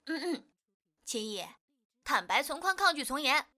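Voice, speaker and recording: A woman's voice: high-pitched, very animated, unhurried, sharp tone, full character voice. A woman acting in a Chinese audio drama as a jealous, pouty, insecure young girlfriend.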